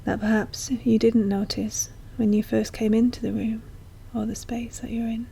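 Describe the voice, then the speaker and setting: A woman guiding a meditation in a soft, breathy, often near-whispered voice, speaking gently and slowly with long, soothing pauses. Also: on the high side, flat delivery, slight background noise. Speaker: guided meditation teacher. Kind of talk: slow guided meditation narration.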